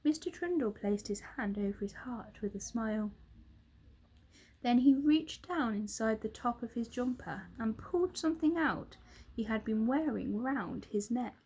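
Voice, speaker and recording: A woman reads a children's story aloud with dramatic expression. Her voice is fairly high and she takes her time, pacing each line very slowly. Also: expressive, darkish tone, husky, poor audio. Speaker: storybook reader, teacher. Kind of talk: scripted children's story narration.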